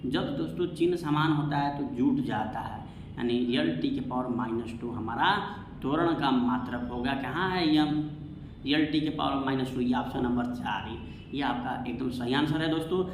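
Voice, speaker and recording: A man's voice: mid-range voice, normal speed. A man teaching an exam-prep science lesson, repeating himself and asking questions that he answers himself. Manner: repetitive, asks and answers own questions. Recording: echoey room, faint background noise.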